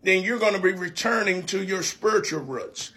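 Bright, high-voiced man preaching. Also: expressive, moderate pace, rough recording. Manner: emphatic, repetitive preacher cadence. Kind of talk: preaching in conversation.

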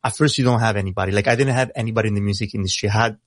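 A young man who grew up in Italy, with Italian as his first language, talking casually over a video call in a halting way with many fillers. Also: fairly low pitch, no echo, studio quality. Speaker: rapper guest.

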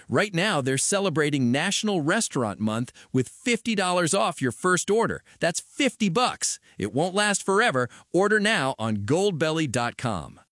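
Commercial voice, male, lively pitch, dry close mic, upbeat sales pitch. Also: dry recording. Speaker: ad announcer. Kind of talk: radio commercial read.

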